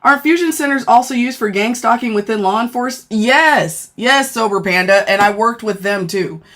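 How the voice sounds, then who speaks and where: A woman with a deep voice chatting casually on a phone livestream, speaking bluntly and thanking viewers as she goes. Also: moderate pace, a bit bright. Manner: blunt, frank, thanks viewers mid-sentence. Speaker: livestreamer and former law enforcement worker. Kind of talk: casual live-stream chat reading comments.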